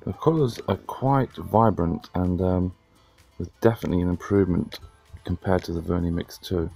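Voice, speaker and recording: Tech reviewer, male, 30s: very low, gravelly and chesty from a cold, laid-back with lots of ums, faint music underneath. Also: normal speed. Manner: relaxed, plain talk with frequent fillers and restarts. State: chesty, deep hoarse voice from a cold. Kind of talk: casual product review.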